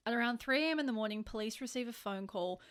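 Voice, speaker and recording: A woman's voice: on the high side, fast talker, fairly bright tone, clean tone. An Australian woman co-hosting a true crime podcast, telling the story in an informal, sweary, conversational way.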